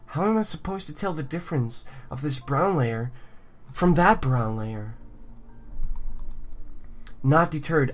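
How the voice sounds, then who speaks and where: A man's voice: medium pitch, a bit bright. A man hosting a gaming video, reading story narration aloud with casual asides.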